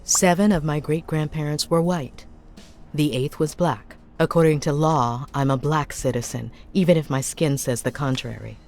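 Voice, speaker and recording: A woman's voice: sits low, normal speed, a little mellow, soft background music. An actress playing an anxious, polite woman in a 1950s noir game, speaking in an earnest, apologetic way. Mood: defensive. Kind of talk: dramatic game dialogue.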